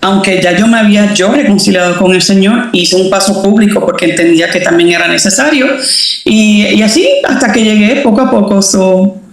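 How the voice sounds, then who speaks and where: Puerto Rican woman guest, easygoing storyteller, boomy echoing room. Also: a little fast. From Puerto Rico. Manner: relaxed, many fillers and restarts. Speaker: interview guest, doctor. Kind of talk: casual faith testimony.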